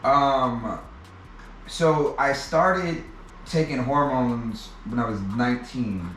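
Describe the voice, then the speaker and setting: A man as an interview guest, telling a personal story in a halting way with many restarts and repeated words. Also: mid-range voice, a bit bright, slight background noise. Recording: echoey room, mic at a distance.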